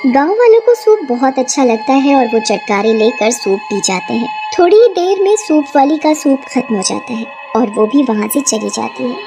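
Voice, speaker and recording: A woman storyteller reading scripted narration for an animated horror tale. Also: sits high, normal speed, gravelly, hardly any pauses, music in the background.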